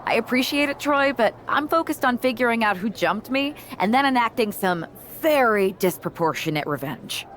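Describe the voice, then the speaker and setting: A woman's voice: on the high side, lively intonation, moderate pace, hardly any pauses, fairly bright tone. A hard-edged female fighter in a video game story. She talks with dry sarcasm and simmering anger, over faint background noise. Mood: irritated. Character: tough angry female wrestling rookie, former MMA fighter, sardonic. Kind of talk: video game cutscene acting.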